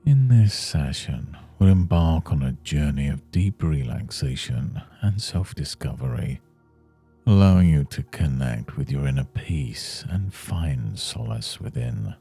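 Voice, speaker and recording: A man guiding a scripted meditation in a soothing, measured manner with long pauses between phrases. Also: a bass voice, expressive, dark tone, slightly rough. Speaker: meditation guide. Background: faint music.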